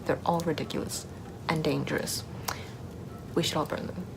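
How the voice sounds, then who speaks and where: A woman acts out a Puritan-era Salem witch in an ASMR roleplay. The voice is fairly low and hushed, often a whisper, with a casual, sardonic, unbothered manner. Noticeable noise sits over the recording. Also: fairly even intonation, moderate pace, creaky. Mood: scornful.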